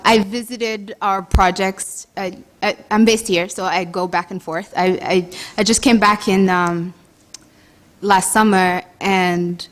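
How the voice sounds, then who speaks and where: A young woman from Congo with a Congolese accent that leans American, speaking earnestly to an audience as a nonprofit co-founder, often restarting her phrases. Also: medium pitch. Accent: Congolese, shifting toward American. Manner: earnest, many restarts and fillers. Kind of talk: personal speech to an audience.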